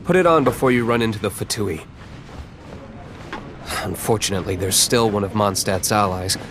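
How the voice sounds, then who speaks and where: A man's voice: on the low side, moderate pace, noisy background, natural voice. A man playing a brooding, aloof young nobleman vigilante in an anime-style video game, curt and reserved. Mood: guarded.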